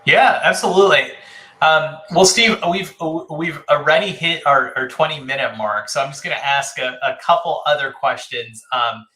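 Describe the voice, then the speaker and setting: A man hosting an interview in a casual, upbeat way, often lifting his voice for quick reactions. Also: fairly high pitch, pushed and bright, rough recording. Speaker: host.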